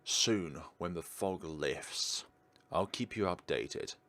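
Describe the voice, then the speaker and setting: Deep-voiced man doing game commentary. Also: takes it slowly, fairly bright tone. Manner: chatty asides between read-out narration. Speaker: gaming video host. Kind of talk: let's play commentary, reading visual novel text aloud.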